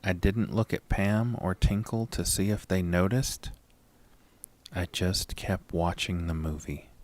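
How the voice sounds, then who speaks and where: A man playing an anxious, haunted narrator in a first-person horror audio drama, deliberate and suspenseful. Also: sits low, steady tone, darkish tone. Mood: tense dread.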